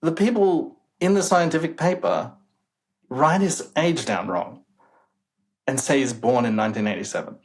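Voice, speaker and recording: A man's voice: medium pitch, animated, normal speed. A young man, a demographer guest in an interview, talking emphatically and sounding incredulous about the data, chuckling now and then. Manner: emphatic, incredulous, chuckles while making points. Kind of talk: casual interview talk.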